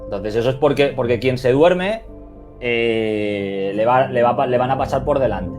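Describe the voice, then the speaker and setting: A man's voice: medium pitch, moderate pace, over music. A man with a Spain Spanish accent, a football coach answering interview questions over a video call, reflective and emphatic, with many restarts and "eh" fillers.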